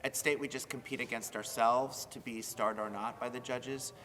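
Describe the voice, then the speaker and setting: Male drama director, interview delivery, crisp bright edge, long room reverb. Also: medium pitch, fairly even intonation, moderate pace. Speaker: high school theater director. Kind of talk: interview remarks. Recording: reverberant hall with background noise.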